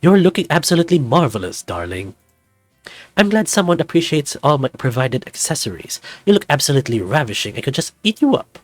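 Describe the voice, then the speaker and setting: A man voicing a flirtatious, camp snow leopard resort host with a theatrical, flattering manner in a visual novel. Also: a little high, a little fast, dry recording, faint music behind.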